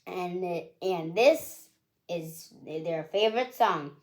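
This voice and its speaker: A woman reading a children's picture book aloud with expressive storytelling, ending with a request to subscribe. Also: lowish voice, drawn-out words.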